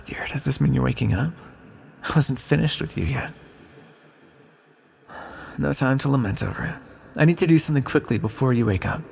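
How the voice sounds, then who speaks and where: A man plays an ancient captive villain for an ASMR roleplay, sly and lonely. His voice is creaky and husky, set deep in long reverb. He talks intimately and teasingly, musing between dramatic pauses with tender flirtation. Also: darkish tone. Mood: urgent, tender.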